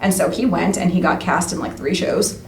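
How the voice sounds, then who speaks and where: A woman's voice: fairly low pitch, fairly quick, vocal fry. A woman interview guest telling personal stories in a casual, chatty way, often acting out bits of dialogue. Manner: chatty, animated retelling with quoted dialogue. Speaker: interview guest, radio play cast member. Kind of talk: casual conversational storytelling. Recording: roomy, distant mic, faint background noise.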